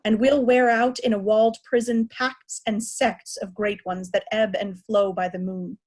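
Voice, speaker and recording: A woman's voice: medium pitch, flat delivery, normal speed, darkish tone, natural voice. A woman playing an old deposed king, tender yet defiant, in a Shakespeare reading with emphatic delivery. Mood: tender, dreamy. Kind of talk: Shakespearean verse read in reader's theatre.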